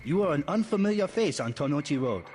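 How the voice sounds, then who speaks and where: A man's voice: a little high, animated, fast, darkish tone, in a large hall, soft background music, lightly acted. A man playing a wary Hong Kong local in a video game, with a formal, cautioning manner.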